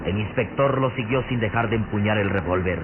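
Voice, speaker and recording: Deep solemn male radio-drama narrator. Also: a little fast, background noise. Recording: muffled old radio recording. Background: music.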